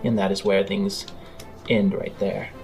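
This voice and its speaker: A man improvising halting, descriptive narration as the game master of a tabletop role-playing game. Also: fairly low pitch, level tone, a little mellow. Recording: music bed under the voice. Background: background music.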